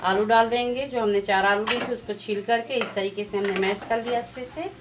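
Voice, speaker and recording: A woman hosting a home cooking channel, calmly explaining each step of a recipe as she goes. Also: mid-range voice, fairly quick, background noise. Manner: plain, instructive, walks through each step. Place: home kitchen at the stove. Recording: slightly dull lo-fi sound with faint music.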